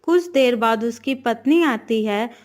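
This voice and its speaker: A woman's voice: on the high side, expressive, measured pace, darkish tone. A woman narrating a scripted moral tale for a cartoon as the storyteller.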